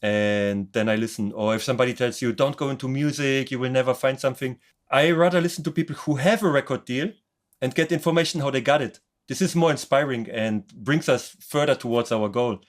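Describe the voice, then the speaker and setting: A man from Poland who grew up in Germany speaks as a podcast guest. His voice sits at a medium pitch, and his comes out upbeat and decisive. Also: brisk. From Poland, raised in Germany. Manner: upbeat and enthusiastic, speaks English as a second language. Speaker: guest, music producer and author. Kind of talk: casual interview chat.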